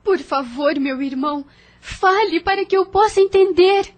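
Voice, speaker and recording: A woman's voice: very high-pitched, moderate pace. A woman voicing a firm, worried young sister who questions her brother insistently in an audio drama.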